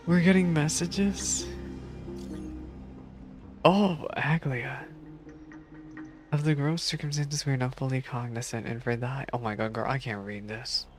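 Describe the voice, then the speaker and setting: Casual game reaction streamer: mid-pitched voice, slangy wry asides, prominent game music behind. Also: fairly even intonation, unhurried, long pauses. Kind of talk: casual live playthrough commentary.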